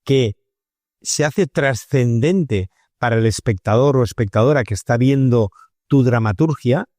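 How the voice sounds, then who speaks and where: Male interviewer, mid-pitched, conversational and discursive, very dry recording. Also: mellow, no room sound. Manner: discursive, frequently seeking agreement with tag questions.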